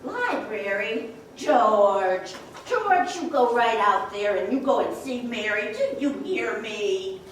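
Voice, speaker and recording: A woman's voice: fairly high pitch, big pitch swings, takes it slowly, few pauses. A woman playing a bossy, meddling older small-town mother in an old-time radio play. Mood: insistent. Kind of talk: old-time radio drama stage acting. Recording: echoey theater, distant mic, noisy.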